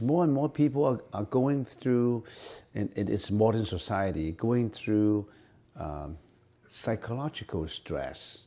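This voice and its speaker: A man with a Cantonese mother tongue teaches Buddhism in an unscripted talk, his voice deep and dark in tone. He pauses often, repeats words and fills gaps while he searches for the next phrase. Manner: halting, many fillers and restarts.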